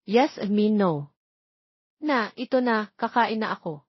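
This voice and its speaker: A woman with a Filipino accent voicing a devoted, gentle man of thirty in an audiobook romance, in a tender, coaxing manner. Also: mid-range voice, lively intonation, measured pace, breathy edge, no echo. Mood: flustered, soothing. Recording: dull lo-fi audio.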